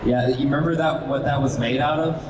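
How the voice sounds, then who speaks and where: A man's voice: medium pitch, steady tone, fairly quick, highs cut off. Easygoing guy rambling through answers at a live craft demo, echoey, noisy background. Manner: hesitant, rambling, laid-back. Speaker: guest wood carver and video maker. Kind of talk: casual live demonstration and question answering. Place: large indoor event hall. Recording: echoey noisy event room, distant microphone.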